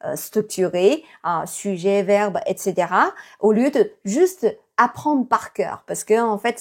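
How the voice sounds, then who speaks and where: Breathy woman teacher. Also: mid-range voice, darkish tone. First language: Chinese. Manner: many fillers, thoughtful, encouraging. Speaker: Chinese teacher. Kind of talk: casual video lesson.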